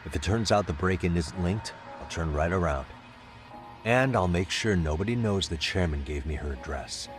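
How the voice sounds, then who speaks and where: An actor playing a calm, persuasive detective in an English game dub, measured and politely insistent. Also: male voice, low voice, music in the background. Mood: grave, insistent. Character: calm, persuasive male detective pressing for information. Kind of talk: dramatic game cutscene dialogue.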